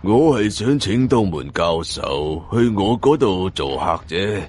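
Man playing a deep-voiced, cunning Cantonese older villain. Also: very animated, slow pace, darkish tone, faint music behind, exaggerated voice. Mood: coldly polite. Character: cunning, composed older male villain, coldly polite.

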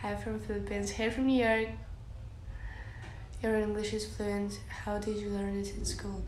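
A young woman with a Russian accent talks slowly and softly, filling her answers with "like" and "uh" as she responds to viewers. She speaks close to a phone while lying on her bed. The bedroom sounds echoey, with a faint hum of ambience behind her. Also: mid-range voice, little pitch movement. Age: young adult. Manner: soft and giggly, many fillers like "like" and "uh". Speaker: livestreamer. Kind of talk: casual livestream chat, reading out comments. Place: bedroom, indoors. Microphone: phone held close, lying on a bed.

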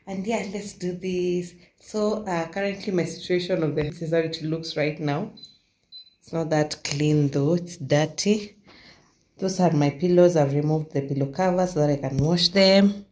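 A young woman from Kenya hosting a casual home vlog, talking softly and keeping her voice low while her baby sleeps. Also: moderate pace. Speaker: vlogger. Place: living room at home. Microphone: phone camera close up, later set down across the room. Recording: roomy home echo.

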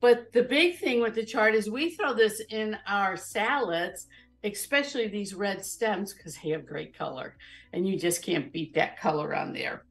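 A woman's voice: medium pitch, expressive, measured pace, vocal fry, soft background music. A woman in her fifties hosting a live cooking show from her home kitchen, chatting casually and explaining each step as she goes.

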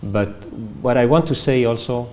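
A man in his fifties from France, with a French accent, answering interview questions slowly and carefully with many fillers, recorded on a lapel mic in a formal room. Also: mid-range voice, darkish tone. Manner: measured, hesitant with many fillers and restarts. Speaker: ambassador being interviewed. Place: formal reception room indoors.

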